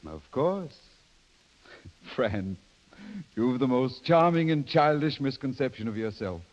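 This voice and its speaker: An actor playing a suave, condescending English gentleman officer and seducer in a 1930s radio drama, sardonic and smooth-talking. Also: medium pitch, lively intonation, slow. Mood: mocking. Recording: dull, muffled 1930s radio recording.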